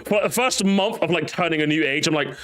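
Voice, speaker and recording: A man in his thirties hosting a livestream, chatting casually, animated, swearing and laughing often. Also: fairly high pitch, fast. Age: 30s. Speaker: streamer host.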